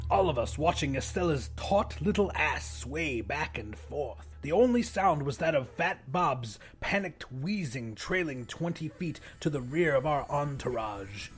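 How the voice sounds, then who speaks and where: A man narrating first-person fiction as a dramatic audiobook reader. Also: fairly high pitch.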